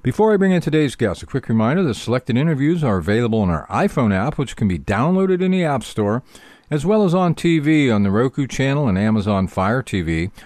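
A man hosts an interview show in a polished, scripted radio style. His medium-pitched voice moves up and down in a very animated way, and the sound is close and dry. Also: no echo.

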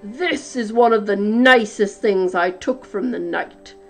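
A woman voicing a huge, greedy male giant in a storybook, booming and commanding, drawing words out like a menacing chant. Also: slow, soft background music. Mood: bossy, demanding.

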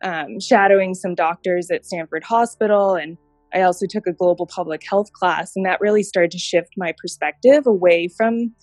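Woman, 30s, from Japan, interview guest, lower voice, dry sound, reflective storytelling. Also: darkish tone, no echo, soft background music. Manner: reflective, storytelling, thoughtful pauses. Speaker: guest, healthcare innovation director. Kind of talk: interview conversation.

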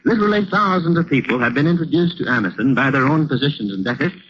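An American man announcing a scripted old-time radio advertisement in a formal, earnest, deliberate way. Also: a little high, animated, fairly bright tone, breathy edge. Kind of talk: 1930s-40s radio advertisement. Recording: muffled old radio recording, faint noise.

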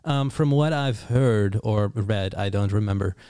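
A man's voice: fairly low pitch, a little slow, warm tone, clear, resonant tone, dry recording, studio quality. A man hosting a casual podcast in English, a native Dutch speaker, playful and prone to stammering restarts. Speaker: podcast host.

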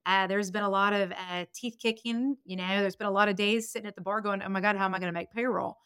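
A woman's voice: mid-range voice, steady tone, quick pace, a bit bright, smooth, no room sound, clean studio sound. A woman of about fifty giving candid, motivational answers as a guest in a conversational interview. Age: 49, turning fifty next month. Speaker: podcast guest, business consultant and strategic planner.

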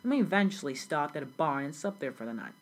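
Brief: teen girl as an immigrant storyteller, low and husky, earnest and scripted. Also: animated, fast talker. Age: young teen. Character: grown immigrant recalling a South Sudan childhood. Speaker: student playing the interviewee. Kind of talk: school project role-play interview.

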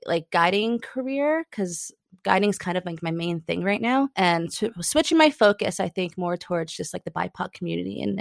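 A woman's voice: lowish voice, gravelly, dry recording, clean studio sound. A young adult woman, a climbing guide, chatting casually in an interview, often laughing and saying "like".

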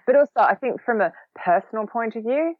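A woman with an Australian accent, a podcast guest talking casually, quick-witted and a bit sarcastic. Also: on the high side, animated, no room sound, phone-quality sound. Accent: Australian, long lived in London. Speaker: podcast guest, social recruiting trainer.

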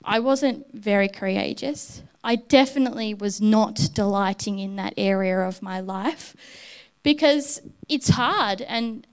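A woman's voice: medium pitch, a little slow, clear, resonant tone. A woman preaching a sermon in church, telling a personal story in a candid, heartfelt way.

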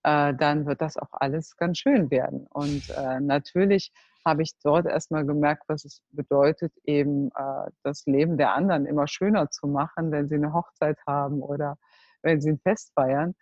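A woman in her forties from Germany telling her life story as a guest, earnest and halting, often searching for words. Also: low voice, fairly even intonation, darkish tone, no echo. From Germany (Cologne). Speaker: entrepreneur, guest. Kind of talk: personal life story in a group talk. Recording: dull, dry close recording.

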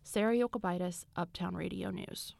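Female news reporter, slight fry, dry close read. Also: lowish voice, moderate pace, darkish tone, no room sound. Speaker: radio reporter.

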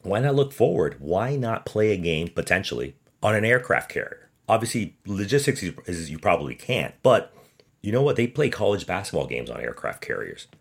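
A man with a deep voice hosts a sports podcast on his own. He speaks emphatically and with sarcasm, pushing his opinions through pointed rhetorical questions. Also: sing-song, a little fast, creaky, breathy edge. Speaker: podcast host.

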